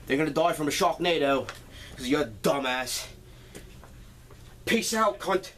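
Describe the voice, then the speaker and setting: A crude, scolding visitor from the future, as a man puts him on, with a put-on accent and constant swearing. The voice sits a bit higher than usual, and he drags his words out slowly. A faint hiss runs under him. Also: lively intonation, a bit bright. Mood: dismissive. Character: brash foul-mouthed man, future self from 2049.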